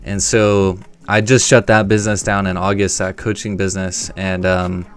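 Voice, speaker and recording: A man's voice: sits low, level tone, normal speed. An American man in his late twenties chatting casually and slangily as host of a live stream. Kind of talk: casual chat.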